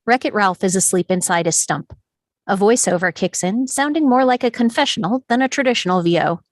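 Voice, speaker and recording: A woman's voice: medium pitch, lively intonation, brisk, no echo, clean studio sound. A woman narrating scripted stage directions at a screenplay table read.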